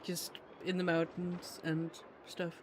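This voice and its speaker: A man making casual, halting, muttered reactions as he streams a video game. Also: high-pitched, monotone, slight background noise. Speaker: gaming streamer reacting to a story quest.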